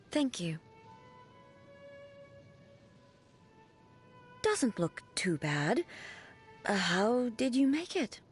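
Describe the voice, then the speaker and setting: A woman playing a stern, regal female ruler in a video game, speaking in formal, measured, imperious phrases. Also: medium pitch, slightly rough, full character voice. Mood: gentler, hesitant. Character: stern, regal, solemn female shogun ruler devoted to eternity. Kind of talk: video game character voice lines. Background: music.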